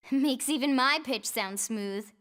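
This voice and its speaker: A woman's voice: sits high, takes it slowly, fairly bright tone. A woman playing a cheeky, eager teenage girl engineer in a video game, bubbly, brash and teasing. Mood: playful. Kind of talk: scripted video game cutscene acting. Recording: faint music behind the voice.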